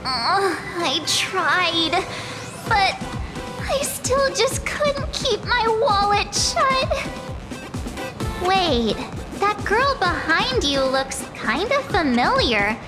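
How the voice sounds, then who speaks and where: A bubbly teenage adventurer in a video game. She is very high and animated, chatty, naive and kind-hearted. Music is clearly heard behind her. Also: very slow, heavily acted. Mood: indecisive, playful. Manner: chatty, expressive.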